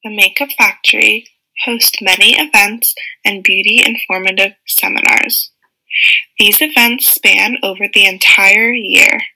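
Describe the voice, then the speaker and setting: A young woman narrates a prepared script for a class presentation, working through lists one item at a time. Her voice is very bright and sharp, with a gravelly edge of vocal fry, and her pitch is ordinary. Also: steady tone, a little slow, rough recording. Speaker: student presenter. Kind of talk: scripted narration of an animated case study.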